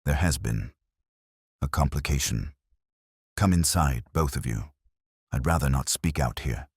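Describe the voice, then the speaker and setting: A man's voice: very deep voice, a little slow, breathy edge, dry recording, clean studio sound, heavily acted. A man voicing a severe, composed Victorian widow in an audiobook, formal, direct and restrained. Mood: guarded.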